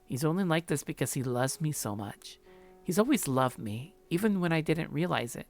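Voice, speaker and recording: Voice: medium pitch, animated, normal speed, darkish tone, faint music behind, lightly acted. A performer voicing a clingy, possessive young woman from a visual novel in a sweet, coaxing manner. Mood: obsessive, gleeful.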